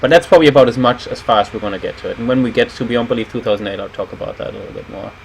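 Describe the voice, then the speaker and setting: A man in his thirties from Germany talks casually with a German accent, hosting a game livestream. His voice sits at an ordinary male pitch, with faint steady noise behind it from the room where he streams at his computer. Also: racing, gravelly, low-quality recording. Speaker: level designer and livestream host.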